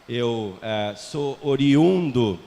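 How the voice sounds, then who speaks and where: Um homem brasileiro na casa dos quarenta, missionário criado em Portugal, com sotaque brasileiro e traços do português europeu, falando de forma descontraída e brincalhona à congregação. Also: male voice, medium pitch, lively intonation, very slow, warm tone. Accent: Brazilian Portuguese with European Portuguese traces. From Brazil. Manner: jovial, self-mocking, chatty with the congregation. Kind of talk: church missionary talk. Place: large church hall. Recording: long church reverb, faint ambience.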